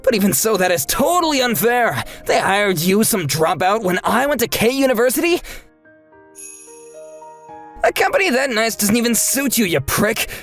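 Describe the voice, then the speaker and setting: A man's voice: high-pitched, very animated, normal speed, fairly bright tone, faint music behind, full character voice. A man voicing an indignant, snobbish young office worker in a manga dub, sneering and argumentative. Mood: indignant, jealous. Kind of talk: anime-style manga dub acting.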